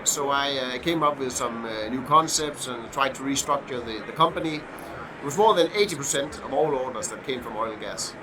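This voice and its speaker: A man's voice: medium pitch, normal speed. Middle-aged danish guy on a podcast, lots of uhs and restarts, noisy hum behind him. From Denmark. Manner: hesitant, many fillers and restarts. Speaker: guest. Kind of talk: casual podcast interview.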